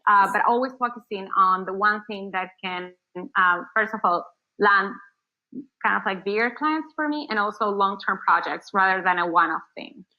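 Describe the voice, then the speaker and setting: A woman's voice: medium pitch, normal speed, muffled recording. A woman from Argentina, not a native English speaker, giving casual interview answers as an agency founder, rambling with many fillers and restarts. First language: Spanish.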